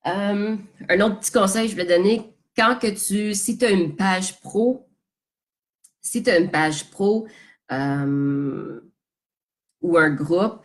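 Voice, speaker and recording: A woman's voice: mid-range voice, slow pace. A woman with a Quebec French accent coaching her audience live, speaking casually and directly and dropping English terms into her French. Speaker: business coach. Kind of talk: live social media coaching talk. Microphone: phone or webcam on a live stream.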